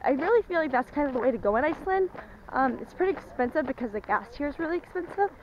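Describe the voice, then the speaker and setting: A young woman talks casually to a camera held at arm's length as she walks a rocky trail. Her voice sits high. The outdoor recording is muffled, with a steady wash of wind noise behind her. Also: fast talker. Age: young adult. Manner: chatty, talking to a handheld camera while walking. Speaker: travel vlogger. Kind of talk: casual travel vlog.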